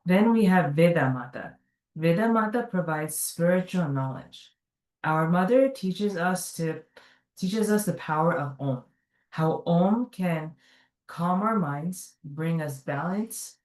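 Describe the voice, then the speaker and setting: A woman's voice: lowish voice, animated, slow pace, a little mellow. A woman earnestly reading a prepared speech at a community gathering, correcting herself now and then. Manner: earnest, careful delivery with small self-corrections. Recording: echoey room, mic at a distance.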